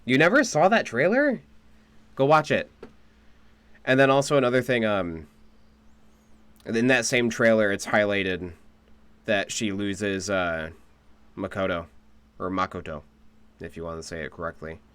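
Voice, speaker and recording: Male streamer, casual reactive commentary, smooth lower voice, close dry sound. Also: measured pace, long pauses, a bit bright, dry recording. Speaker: game streamer.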